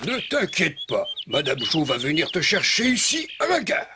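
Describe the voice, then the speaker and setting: A woman's voice: sits low, huge pitch swings, moderate pace, background noise. A woman voicing a kindly, solicitous local in a cartoon, speaking expressively as if to a child, over music. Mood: concerned, kindly. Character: kindly, fussing station local talking to a child. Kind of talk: French cartoon dub acting. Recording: music behind the voice.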